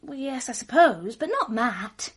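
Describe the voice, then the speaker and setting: An actress playing an anxious, protective witness in a radio drama, earnest, defensive and a little faltering. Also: female voice, high voice, sing-song, a little slow, slightly rough, exaggerated voice.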